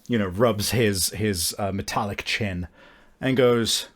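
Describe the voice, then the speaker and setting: A man improvising narration as a tabletop game master in a casual, halting, descriptive way. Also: lowish voice.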